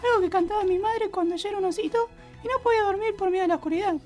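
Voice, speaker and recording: Squeaky high Argentine teddy bear. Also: male voice, rapid-fire, a little mellow, soft background music. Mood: sly. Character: small cuddly foul-mouthed teddy bear, sly. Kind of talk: comic radio play acting.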